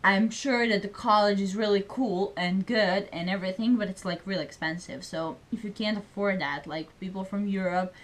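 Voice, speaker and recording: Young woman talking to camera, chatty, says like a lot, middle-pitched voice. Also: moderate pace, hardly any pauses. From Serbia. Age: young adult. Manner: chatty, many fillers, speaks English as a second language. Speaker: student vlogger. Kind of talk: casual vlog chat.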